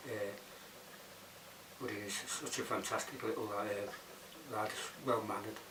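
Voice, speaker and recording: Low-voiced Liverpool man, distant and echoey. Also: fairly even intonation, unhurried. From Bootle, Liverpool, England. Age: 60s. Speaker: documentary interviewee. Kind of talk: unscripted interview answers. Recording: roomy, distant mic, clearly noisy background.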